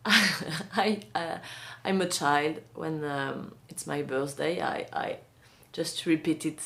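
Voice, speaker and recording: Slow, gravelly French-accented woman. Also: low-pitched, a bit bright. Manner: hesitant, many restarts and fillers. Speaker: vlogger, magazine publisher. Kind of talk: casual vlog monologue.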